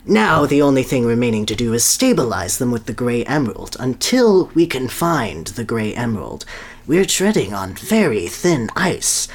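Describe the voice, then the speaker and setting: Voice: mid-range voice, big pitch swings, moderate pace. A podcast reader voicing an earnest, boastful scientist doctor who explains a grand scheme at length. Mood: earnest, grave. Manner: boastful, expository.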